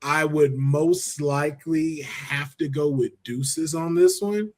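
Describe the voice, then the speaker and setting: A man's voice: on the high side, takes it slowly, darkish tone. An American man chatting casually as an opinionated podcast panelist, slangy and given to emphatic repetition. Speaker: podcast panelist judging picks.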